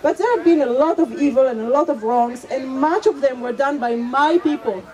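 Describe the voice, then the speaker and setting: A woman's voice: high-pitched, moderate pace, darkish tone, a faint crowd, low-quality recording. A woman from Israel, a Hebrew speaker, speaking earnestly and emphatically as an activist in an outdoor street debate. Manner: earnest, emphatic, repeats points for weight. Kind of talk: impassioned street debate speech.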